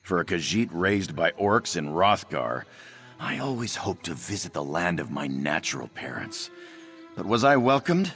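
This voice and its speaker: Fretful cat-man merchant, very low voice, widely swinging intonation, self-doubting, fantasy game. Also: sing-song, normal speed, a bit bright, faint music behind, full character voice. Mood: despondent, fretful. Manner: fretful, hesitant, self-pitying. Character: anxious, self-doubting young male cat-man trader raised by orcs. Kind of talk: fantasy video game dialogue.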